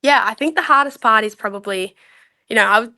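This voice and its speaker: A woman's voice: fairly high pitch, brisk, pushed and bright. A young woman, a rugby league player talking openly and easily as a podcast guest. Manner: open, easygoing, candid. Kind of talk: casual interview chat.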